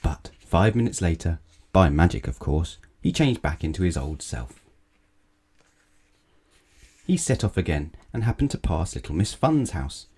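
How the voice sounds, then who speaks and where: A man's voice: low-pitched, sing-song, unhurried, long pauses, darkish tone, breathy edge. A man reading a children's story aloud as a storyteller.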